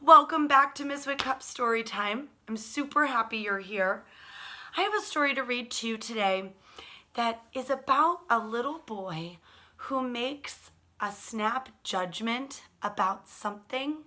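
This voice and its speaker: A woman doing an animated, expressive children's storytime read-aloud, speaking directly to young listeners with friendly asides. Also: on the high side, measured pace. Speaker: teacher reading picture books aloud to children.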